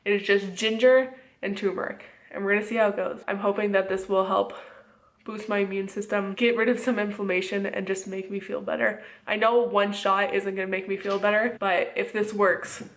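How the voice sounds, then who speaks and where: A woman's voice: medium pitch, little pitch movement, a little fast. A young woman with a stuffy, congested nose from a cold, chatting casually and rambling to the camera from her home kitchen, a bit short of breath. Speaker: vlogger. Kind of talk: casual vlog talk to camera.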